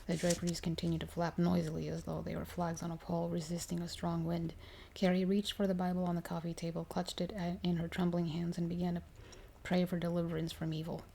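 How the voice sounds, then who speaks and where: A woman reads a horror story for ASMR listeners. Her voice is lowish and very dark and soft. She keeps a measured pace of storytelling, with dramatic emphasis when characters speak. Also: completely flat delivery, vocal fry, a little hiss or hum, poor audio. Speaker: ASMR storyteller reading aloud.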